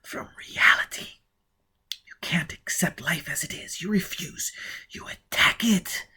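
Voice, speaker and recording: A man reading an audiobook and voicing a frightened, bitter young woman in a breathy, whispered voice. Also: a little high, unhurried, sharp tone, voice pushed up, full character voice.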